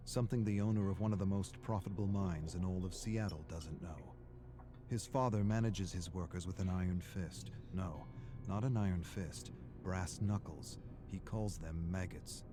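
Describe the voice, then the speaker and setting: A man performing as a solemn storyteller, narrating a video game's character stories in measured, dramatic phrases. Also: sits low, over music, close to an everyday voice. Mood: grave, measured.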